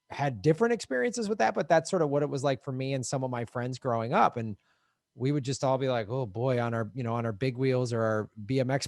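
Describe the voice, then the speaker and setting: A man's voice: mid-range voice, no room sound, studio quality. A middle-aged man from the American Midwest, a comedian telling stories in a casual chat and laughing often. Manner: animated storyteller, laughs often, acts out voices. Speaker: podcast co-host, touring comedian.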